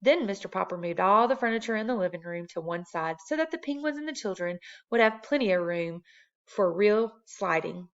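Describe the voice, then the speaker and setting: Lady reading a kids chapter book out loud, normal pitch, kinda muffled recording. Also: expressive, brisk. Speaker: storybook reader. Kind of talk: children's read-aloud narration.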